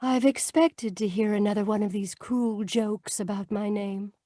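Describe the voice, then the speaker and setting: A woman's voice: medium pitch, fairly even intonation, moderate pace, dark tone, no echo, clean studio sound, close to an everyday voice. An actress playing a cool, haughty rich woman in an old-time radio mystery, formal and curt. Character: cool, haughty wealthy woman, dismissive of the detective. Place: home, live video call performance.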